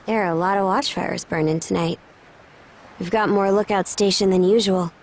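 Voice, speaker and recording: An actress playing a frank, capable young daughter of a seafaring chief in a video game, casual and matter-of-fact. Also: female voice, mid-range voice, fairly even intonation, normal speed, a little mellow, lightly acted. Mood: explanatory. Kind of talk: video game cutscene acting.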